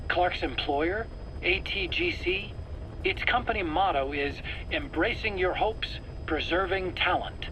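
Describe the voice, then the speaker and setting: An actor playing a nervous, eager scientist under interrogation in a video game, self-justifying in an earnest, explanatory, pleading way. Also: male voice, high voice, measured pace, pushed and bright, low-quality recording. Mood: secretive, insistent. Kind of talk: dramatic video game voice acting. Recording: faint background noise, cassette tape interrogation.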